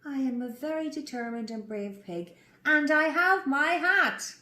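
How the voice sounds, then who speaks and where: A woman voicing a small, determined and excitable boy piglet in a story reading. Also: high-pitched, measured pace, reverberant, voice pushed up, exaggerated voice. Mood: triumphant.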